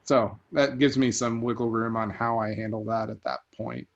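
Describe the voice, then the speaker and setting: Male rental host, even and monotone, measured delivery, casual call chat. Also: on the low side, gravelly, muffled recording. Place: online group video call.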